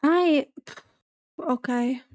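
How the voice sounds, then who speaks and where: Soft, mellow woman streamer, unhurried. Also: high-pitched. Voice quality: soft-voiced. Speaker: livestreamer, vtuber. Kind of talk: casual chat reacting to a game livestream.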